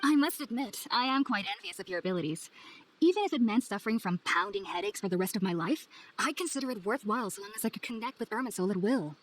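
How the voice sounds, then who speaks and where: A woman playing an earnest, polite young scholar in a video game, speaking in a formal, measured, articulate way. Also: medium pitch, animated, natural voice, poor audio. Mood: serious, wistful. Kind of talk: video game cutscene acting.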